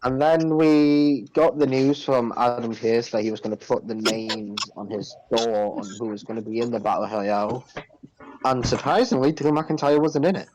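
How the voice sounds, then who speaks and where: A man co-hosting a podcast in casual recap chat, blunt and argumentative, quick to cut in. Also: medium pitch, a little mellow, slight background noise, poor audio. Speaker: podcast co-host.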